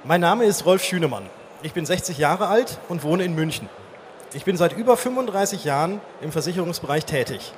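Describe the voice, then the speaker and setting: A man hosting a casual interview on stage at a live event. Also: on the high side. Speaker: interview host. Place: live stage at a trade fair. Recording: faint background noise, live event.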